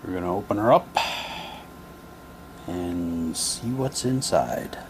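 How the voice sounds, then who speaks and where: Male, low register, very unhurried; casual unboxing host with a wry, halting delivery. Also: lively intonation, background noise. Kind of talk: casual unboxing commentary, reading captions aloud.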